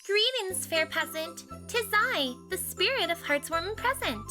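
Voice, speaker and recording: A woman's voice: very high-pitched, animated, a little slow. A woman voicing a merry, regal giant mare spirit in a grand singsong manner for an audio story. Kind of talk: audio fan fiction character acting. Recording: music behind the voice.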